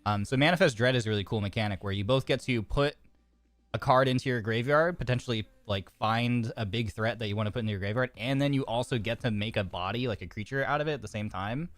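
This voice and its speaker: A man's voice: mid-range voice, quick pace, a bit bright, no room sound. A man streaming a card game, chatting casually and reading card text aloud, a little congested with a sore throat. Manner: chatty, reads card text aloud, frequent restarts and fillers.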